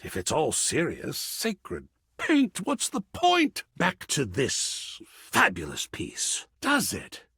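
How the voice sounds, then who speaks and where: A pretentious, passionate male art curator for a video game. His husky voice sits a little high and leaps dramatically up and down. He takes his time, relishing words with theatrical flamboyance. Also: huge pitch swings, slow pace, bright, forceful tone, no echo, studio quality, full character voice. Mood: delighted, playful. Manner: dramatic, swooping, savoring words. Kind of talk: theatrical game character dialogue.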